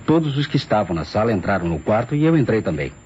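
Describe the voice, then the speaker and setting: A Brazilian lawyer in an old radio mystery, formal and on the defensive. His voice sits fairly low and moves expressively. The recording is muffled, with faint noise. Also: very animated, fast talker, a little mellow. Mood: explanatory. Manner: formal, explanatory. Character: defensive male lawyer, the wife's former fiancé. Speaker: actor in a radio mystery serial.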